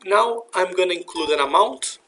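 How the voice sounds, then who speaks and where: A man with a somewhat high voice narrates a software tutorial. He explains each action step by step, in the manner of an instructor walking users through a procedure. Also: expressive, moderate pace, a little hiss or hum.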